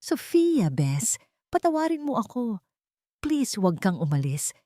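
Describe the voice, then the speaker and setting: Narrator lady as guilty bestie girl begging, higher voice, audio drama, dry. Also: big pitch swings, unhurried, dark tone, no echo. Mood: tearful pleading. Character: remorseful young female best friend, tearfully begging.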